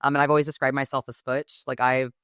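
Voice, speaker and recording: A young woman from Texas with a fairly low, smooth, resonant voice, recorded close and dry. She talks things through reflectively and articulately, leaning on "um" and "like" as she thinks. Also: little pitch movement, quick pace, fairly bright tone, no echo. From Texas, United States. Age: 20s. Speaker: guest. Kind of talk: podcast interview.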